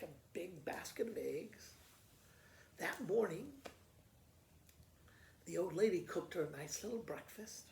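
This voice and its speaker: A man reading a storybook aloud as an expressive storyteller, with frequent pauses. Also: sits high, vocal fry. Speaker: school principal reading to pupils. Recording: echoey room with faint noise.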